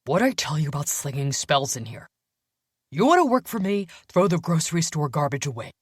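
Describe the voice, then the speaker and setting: A woman's voice: low voice, sing-song, fairly quick, no echo, exaggerated voice. Casting: woman narrator as scowling councilman boss, a big man, curt and intimidating, dry close fantasy audiobook sound. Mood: angry. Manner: gruff, curt, bossy.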